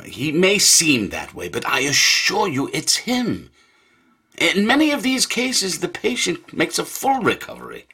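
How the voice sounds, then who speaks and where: An actor in a radio drama playing a brisk, soothing early 1900s family doctor with a husky voice. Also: male voice, fairly high pitch, heavily acted. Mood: reassuring. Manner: professional, soothing, expressive.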